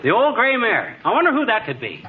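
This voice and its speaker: Boastful wisecracking husband: American, high animated voice, folksy bluster, noisy old radio comedy with audience. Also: male voice, brisk, heavily acted. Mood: jokey. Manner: folksy, slangy, blustering delivery. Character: brash, boastful, wisecracking small-town husband. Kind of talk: 1940s radio comedy acting. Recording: muffled, very noisy 1940s radio, studio audience.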